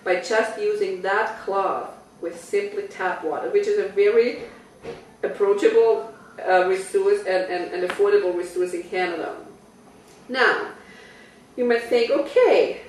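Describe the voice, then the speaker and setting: A woman's voice: mid-range voice, measured pace, recorded from a distance, slight background noise. Austrian-accented woman explaining in an echoey room. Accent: Austrian German. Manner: chatty and explanatory, asks listeners questions. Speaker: product demonstrator. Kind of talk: home party product talk. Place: living room of a private house.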